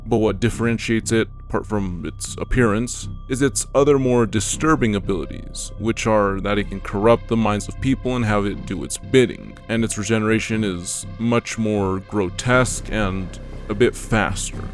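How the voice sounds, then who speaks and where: Low-pitched man narrating over music. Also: normal speed. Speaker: video narrator and host. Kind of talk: scripted narration, video-game versus analysis. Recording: music behind the voice.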